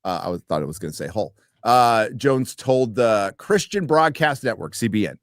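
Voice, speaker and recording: A man co-hosting a casual podcast, reading articles aloud slowly and joking with the others. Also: lowish voice, very animated, no room sound, clean studio sound. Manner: reads aloud slowly, jokes and trails off. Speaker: co-host.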